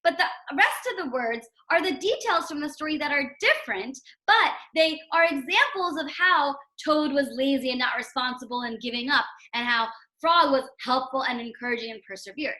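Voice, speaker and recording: A woman's voice: sits high, expressive, moderate pace, hardly any pauses, bright, forceful tone, echoey room. A woman teaching young children in a read-aloud lesson, instructional, repeating key points and checking understanding. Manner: instructional, repetitive emphasis, checks with "right?" and "okay?". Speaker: elementary school teacher.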